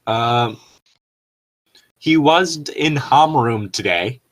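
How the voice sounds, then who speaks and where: A man with a middling pitch reads aloud in a casual, wry way. His intonation is lively, and he goes slowly, breaking off for joking asides. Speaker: co-host reading fanfiction aloud.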